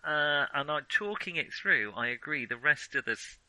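A man's voice: a little high, animated, normal speed, bright, forceful tone, no echo, low-quality recording. A man in a casual film discussion as a podcast co-host, thinking aloud and often correcting himself as he goes.

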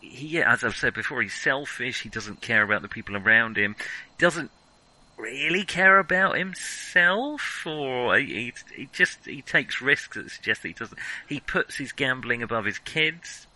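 A man's voice: mid-range voice, animated, unhurried, sharp tone, rough recording. A man co-hosting a film discussion podcast, speaking casually and opinionatedly, often stopping to rethink and correct himself. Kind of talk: casual film criticism chat.

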